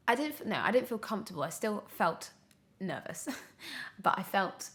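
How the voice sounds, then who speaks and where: A young woman chatting casually as an interview guest, telling her story in an animated, expressive way. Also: on the low side, creaky. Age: young adult. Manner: animated storytelling, acts out her own past thoughts. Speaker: podcast guest, radio drama actor.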